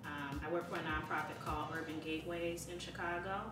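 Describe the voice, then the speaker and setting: A woman's voice: low voice, level tone, fast talker, recorded from a distance. A woman guest in a casual group talk in a living room, chatty and laughing. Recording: roomy, music loud behind.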